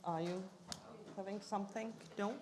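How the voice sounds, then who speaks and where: A woman's voice: mid-range voice, steady tone, moderate pace. Woman, meeting chair, formal welcome, hesitant fillers, table mic, faint background hiss. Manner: welcoming, reads names and titles, many fillers. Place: conference room.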